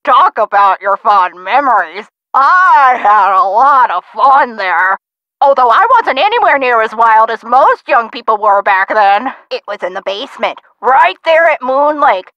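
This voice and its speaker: A woman playing a feisty, sharp-tongued elderly woman who tells theatrical stories of her youth in a video game. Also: fairly high pitch, lively intonation, a little slow, dry recording, highs cut off. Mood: nostalgic, animated. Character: feisty, sassy elderly woman, nostalgic for the twenties. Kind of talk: video game character acting.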